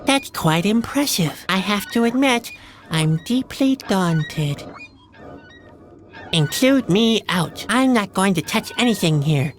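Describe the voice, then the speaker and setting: Gloomy, slow-talking young clown. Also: male voice, high voice, faint music behind. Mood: gloomy, deadpan. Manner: deadpan, self-deprecating, slow. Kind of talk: video game character dialogue.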